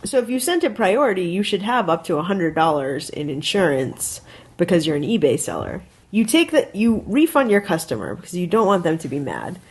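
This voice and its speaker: A woman's voice: on the low side, sing-song, fairly quick, darkish tone, breathy edge. An American woman co-hosting a podcast, giving casual advice in an instructive, emphatic way. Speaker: podcast co-host.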